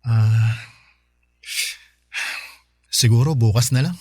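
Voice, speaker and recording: A man's voice: medium pitch, takes it slowly, mellow, slightly rough, no room sound. A man playing an anxious live-in boyfriend in a radio drama, suspicious yet apologetic, with a hesitant, pleading manner. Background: music bed.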